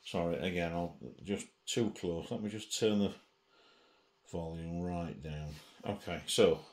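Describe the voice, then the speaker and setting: Middle-aged male presenter, deep register, slow delivery, casual hands-on demo. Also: steady tone, a little mellow. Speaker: presenter of a gadget review. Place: indoors at a table.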